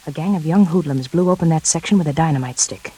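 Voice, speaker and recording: An actress playing a cool, seductive schemer in a 1940s radio thriller, smooth-talking and coaxing. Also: female voice, low-pitched, fast, mellow, low-quality recording. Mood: confiding, seductive. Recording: hissy 1940s radio recording, rain noise.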